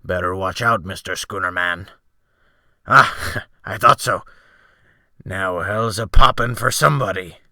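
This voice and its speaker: A man performs a gruff, excitable old seaman from an audiobook tale. He bellows in a bright, hard-edged voice, full of scorn and bluster. His talk comes in salty, snorted exclamations, recorded close and dry. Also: low voice, unhurried, pauses often, dry recording, pitched below the performer's own voice, exaggerated voice. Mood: excited. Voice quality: loud, bellowing. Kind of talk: dramatic audiobook character acting.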